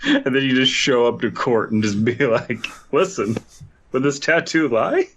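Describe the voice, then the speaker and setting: A man's voice: medium pitch, very animated, moderate pace, a bit bright, a little hiss or hum. A man co-hosting a podcast, riffing casually and jokily with some swearing. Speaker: podcast co-host. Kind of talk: casual comedic banter and commentary.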